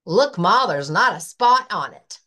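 A woman's voice: mid-range voice, expressive, measured pace, pushed and bright. A woman voicing a young male pig who teases his brother in a mocking way. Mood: teasing.

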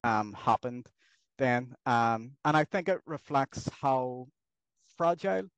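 A middle-aged man answers interview questions as a journalist. His delivery is slow and conversational, recorded dry through a headset on a video call. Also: mid-range voice, animated, clean tone, no echo.

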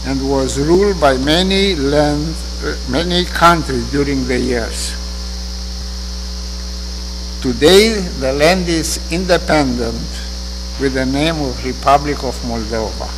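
An older man with a Romanian accent giving a measured, earnest eulogy from a lectern in a synagogue sanctuary. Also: a little high. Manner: slow, measured, earnest delivery. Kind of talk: memorial eulogy. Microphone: lectern microphone. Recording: reverberant hall with hum.

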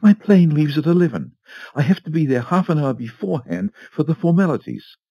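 A man reads the part of a nervous older woman fretting in a high, breathless voice. Her lines swoop up and down with worry, and she never sounds settled. The recording is close and dry, with a slightly dull tone. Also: expressive, brisk, vocal fry, mellow, husky, no echo, heavily acted. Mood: fretful. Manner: high, breathless, fussing urgency. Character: anxious fretful older wealthy woman.

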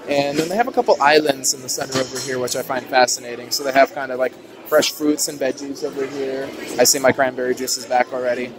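A man's voice: mid-range voice, steady tone, quick pace. A young man walking a buffet with a handheld phone camera, chatting casually and upbeat with many "ooh"s and "uh"s. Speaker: vlogger. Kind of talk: casual walkthrough narration. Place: large indoor buffet restaurant. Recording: noisy restaurant phone video. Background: diner chatter and clatter.

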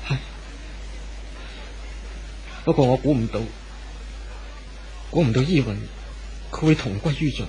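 A man acting as a gentle, protective romantic lead speaks in a medium-pitched voice with a Hong Kong Cantonese accent. He is steadfast, reassuring and unhurried, in old radio drama style over faint background noise. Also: close to an everyday voice, poor audio. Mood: regretful, calm.

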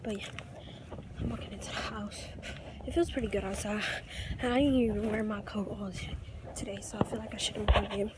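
A woman's voice: medium pitch, steady tone, brisk, pauses often, very noisy. A teenage girl vlogging casually in a chatty, slangy, rambling way, talking into a phone at arm's length. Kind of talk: casual vlog chat. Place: home indoors, then outdoors. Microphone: phone held at arm's length.

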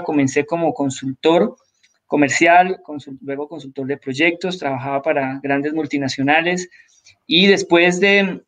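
A man in his thirties from Colombia, a tech entrepreneur speaking as a guest on a live video call, measured and restarting his phrases often. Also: fairly high pitch, fairly bright tone. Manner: measured, many restarts and repetitions, formal. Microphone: video call audio. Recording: dull lo-fi call audio.

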